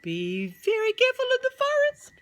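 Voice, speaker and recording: A woman's voice: wildly swooping pitch, normal speed, full character voice. A woman voicing a tiny, anxious robin giving urgent warnings in a children's storybook. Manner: high, urgent, emphatic warning.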